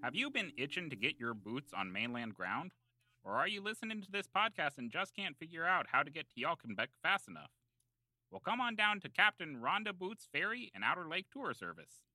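A man with a very bright, forceful voice works as a podcast co-host. His delivery swings up and down with hammy, salesman-like emphasis, and the recording is close and dry. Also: on the high side, sing-song, brisk, no room sound. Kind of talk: comedy ad read and casual chat.

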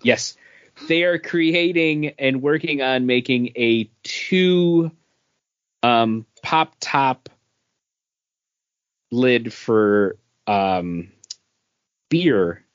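A man's voice: mid-range voice, expressive, extremely slow, long pauses, no echo. Man chatting casually, dry dull recording. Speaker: podcast host. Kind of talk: casual chat. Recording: dull lo-fi, dry close sound.

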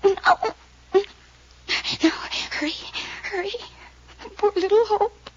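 An actress playing an anxious young woman with ominous premonitions in a 1940s radio drama, given to breathy, urgent pleading. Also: extremely high pitch, takes it slowly, a little mellow. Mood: frantic pleading. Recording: noisy, muffled old-time radio recording.

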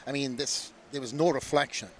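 A man's voice: medium pitch, fairly even intonation, brisk, a bit bright. A man appearing as a podcast guest, speaking hesitantly with many fillers in casual chat.

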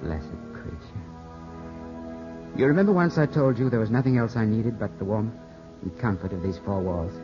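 An actor playing an impulsive, lovestruck young gentleman in a 1950s radio drama, theatrical and romantic in manner. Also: lowish voice, lively intonation, normal speed, natural voice. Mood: ardent. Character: impulsive lovestruck young English gentleman, twenty-five, headstrong. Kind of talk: 1950s radio drama acting with first-person narration. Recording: dull 1950s radio broadcast, orchestral music behind.

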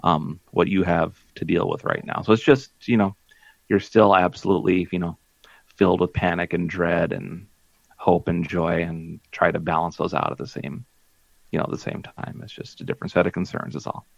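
Self-deprecating man in his forties with a deep voice, on a dull dry recording. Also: fairly even intonation, normal speed, creaky, no echo. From Minnesota. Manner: rambling, self-deprecating, lots of fillers. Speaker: podcast co-host. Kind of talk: casual chat.

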